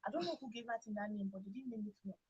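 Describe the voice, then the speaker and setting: A Nigerian woman in an animated radio discussion, talking emphatically with opinions and rhetorical questions. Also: a little high, rapid-fire, slightly rough, lo-fi sound. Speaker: radio talk show host.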